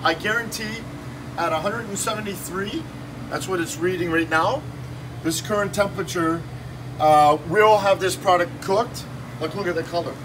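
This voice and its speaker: A man in his fifties, a shop owner giving an energetic, salesy cooking demo in a small shop office, filmed on a phone. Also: sits high, moderate pace. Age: 50s. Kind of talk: casual promotional demo. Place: small shop office with kitchen counter, indoors. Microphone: phone camera held by someone nearby. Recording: distant phone audio with room noise.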